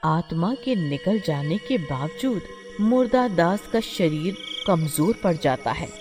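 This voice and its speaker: A woman's voice: fairly low pitch, expressive, slow, music in the background. A woman narrating a scripted horror story in a dramatic storytelling manner.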